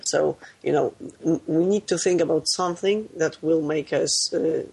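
Male podcast guest, ill, halting delivery, raspy and gravelly. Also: a little high, a little mellow. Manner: halting, searching for words. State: ill, voice lower than usual. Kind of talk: casual podcast chat.

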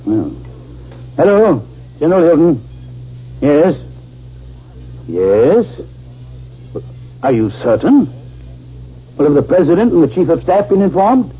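An actor playing an earnest, measured army general in a 1950s radio drama. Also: male voice, a little high, big pitch swings, breathy edge, exaggerated voice. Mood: grave, commanding. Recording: tinny, hissy old radio recording.

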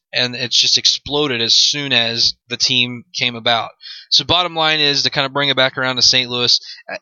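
Bright, forceful young American man podcasting. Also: mid-range voice, dry recording, lo-fi sound. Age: mid twenties. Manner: rambling, repeats phrases, leans on "you know". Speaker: podcast host. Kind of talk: solo sports commentary podcast, unscripted.

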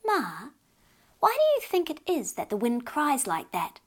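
Beth, a woman putting on a high curious little girl. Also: huge pitch swings, a little slow, full character voice. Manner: high, eager, questioning. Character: curious young girl Beth, dreamy and stubborn.

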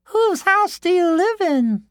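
A man voicing an exasperated, nagging village wife in a folktale, with drawn-out, emphatic falsetto delivery. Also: big pitch swings, no room sound, studio quality, full character voice. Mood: curious, plaintive.

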